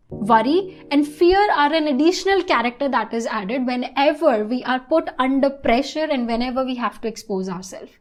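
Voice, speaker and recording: A woman presenting an online English lesson in a scripted, instructional style, with deliberate and emphatic phrasing. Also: sits high.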